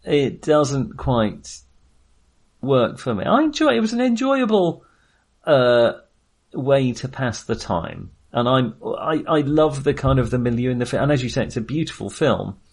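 Man, very lively, swooping intonation. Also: mid-range voice, unhurried, gravelly, slightly rough. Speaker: film podcast co-host. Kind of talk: casual film discussion.

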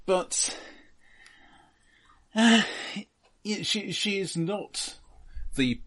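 A middle-aged British man co-hosting a casual film discussion, speaking in a dry, halting way and repeating words as he thinks. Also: medium pitch, fairly bright tone, slightly rough. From United Kingdom. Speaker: film podcast co-host.

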